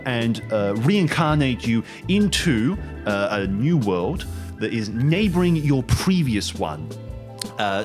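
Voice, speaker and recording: A man playing a harried, officious agent of a goddess company in a tabletop game, explaining things haltingly. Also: medium pitch. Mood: firm, officious.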